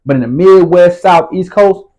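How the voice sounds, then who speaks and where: A man's voice: high-pitched, normal speed, dark tone, lo-fi sound. A man, telling his story as an interview guest in casual street slang and often asking whether the listener follows. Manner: streetwise slang, repeats "you feel what I'm saying".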